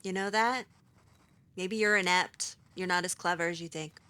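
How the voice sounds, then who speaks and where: A woman's voice: on the low side, fairly even intonation, moderate pace, pushed and bright, clear, resonant tone. A woman voicing her sarcastic, clever young adventurer character in a game, teasing as she talks.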